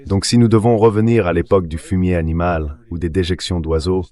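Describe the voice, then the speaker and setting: A man's voice: deep voice, steady tone, brisk, warm tone. A man's AI-generated voice dubbing an interview guest, explaining things step by step in a didactic way. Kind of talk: dubbed interview explanation read by AI voice.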